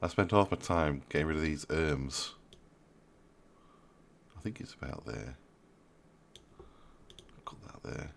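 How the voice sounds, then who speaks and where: A man with a very deep voice, still stuffy from a cold, talks over his editing in a quiet, low-key mutter. He chuckles at his own slips and keeps it casual. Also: expressive, takes it slowly, creaky, pauses often, a little hiss or hum. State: still stuffy and a bit ill. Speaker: video maker explaining his editing.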